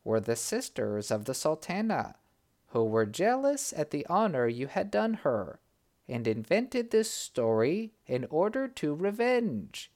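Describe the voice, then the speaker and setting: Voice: medium pitch, big pitch swings, darkish tone. A reader putting on a wise, solemn talking bird. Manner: measured, solemn.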